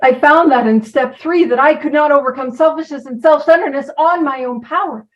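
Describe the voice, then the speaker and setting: A woman's voice: high-pitched, a little fast, distant mic, highs cut off. A woman giving an informal talk about recovery, chatty and self-deprecating, who drifts from point to point. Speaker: recovery program speaker.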